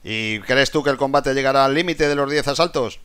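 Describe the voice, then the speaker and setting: A man's voice: medium pitch, brisk, a bit bright, smooth. A man interviewing a boxer, asking casual, friendly questions. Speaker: sports interviewer.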